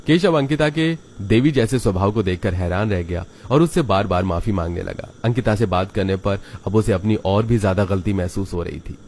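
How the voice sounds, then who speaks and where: Low-pitched male children's story narrator. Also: moderate pace, few pauses, a little mellow, a little hiss or hum.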